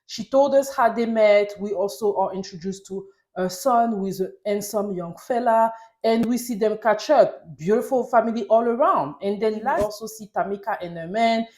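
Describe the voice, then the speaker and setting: A woman's voice: mid-range voice, normal speed, darkish tone. A woman video host with a French accent recaps a reality show in a casual way. She is a native French speaker from Paris. Her talk is chatty and enthusiastic, like a fan filling in friends. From Paris, France. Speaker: YouTube host reviewing reality TV.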